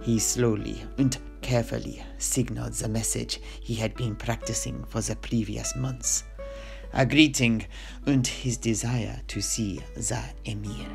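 A man narrating a fantasy history as a storyteller, with dramatic pauses and direct asides to the listener. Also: mid-range voice, fairly even intonation, gravelly, faint music behind.

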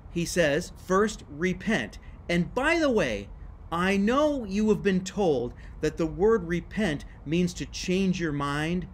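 Lively, emphatic middle-aged man teaching. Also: high-pitched, a little hiss or hum. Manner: emphatic, lively, gesturing with his hands. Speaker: church history teacher. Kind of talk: scripted video lesson to camera. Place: screened porch, outdoors.

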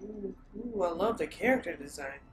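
A woman in her thirties hosting a loud movie reaction at home, exclaiming and shouting at the screen. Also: medium pitch, lively intonation, moderate pace, noisy background.

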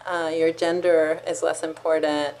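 A woman's voice: low voice, level tone, a little slow, a little mellow, rough recording. A middle-aged woman from Israel, a law professor and author, explaining her thoughts conversationally in an interview on a video call. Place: office, indoors, on video call. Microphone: video call computer microphone.

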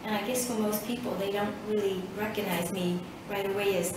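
A woman in her fifties, from Vietnam and a native Vietnamese speaker, telling her story in an oral history interview, hesitant and reflective with many pauses. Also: mid-range voice, fairly even intonation. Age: 50s. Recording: echoey room, distant mic, background noise.

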